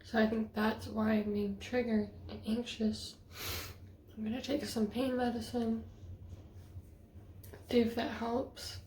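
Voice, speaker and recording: A woman's voice: on the high side, little pitch movement, slow, long pauses, darkish tone, slight background noise. A woman talking in a casual video diary, hesitant and rambling with many fillers, sometimes dropping to near-whispers. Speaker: vlogger.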